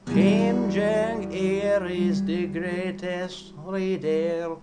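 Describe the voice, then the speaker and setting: A man playing a bumbling North guest on a comedy radio bit, speaking halting, broken English. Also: high voice, flat delivery. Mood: singing praise. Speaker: musical guest. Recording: guitar playing loudly behind.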